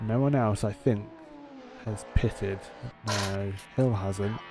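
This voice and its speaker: A man's voice: lowish voice, steady tone, takes it slowly, music in the background. A man giving live sim racing commentary in a chatty, casual way.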